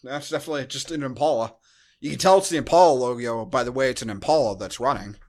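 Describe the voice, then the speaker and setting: A man's voice: mid-range voice, fast talker. An American man co-hosting a podcast in casual, rambling, joking conversation. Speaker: podcast co-host. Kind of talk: casual chat, movie review.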